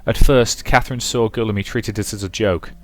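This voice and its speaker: A man's voice: on the low side, fairly even intonation, normal speed, faint music behind. A man commentating on and reading aloud from a visual novel as a let's play host.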